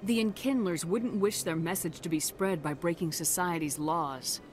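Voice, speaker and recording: A woman's voice: on the low side, moderate pace, natural voice. A woman playing a composed, assertive military hero in a video game, speaking firmly and directly. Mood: diplomatic. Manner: direct, firm. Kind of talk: video game character acting. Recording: game music behind the voice.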